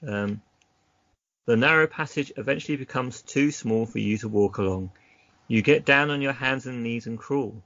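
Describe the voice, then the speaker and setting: A man acting as game master, reading gamebook narration aloud in an unhurried way and mixing it with casual chat. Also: medium pitch, a bit bright, dry recording. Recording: dull lo-fi recording.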